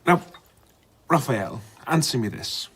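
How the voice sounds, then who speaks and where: An actor playing a worldly, sceptical gentleman in an audio drama, arguing in an assured, rhetorical way. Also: mid-range voice, expressive, takes it slowly, slight background noise. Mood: challenging.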